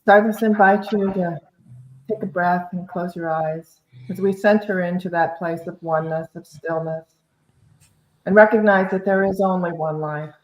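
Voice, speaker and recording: A woman speaking as a reverend, leading a guided spoken prayer in a roomy, slightly dull online recording. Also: on the low side.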